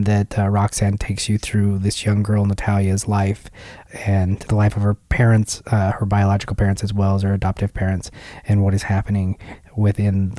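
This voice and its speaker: Mellow-voiced hesitant podcast host. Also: low-pitched, dry recording. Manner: rambling, hesitant questions with many fillers. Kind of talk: casual podcast interview.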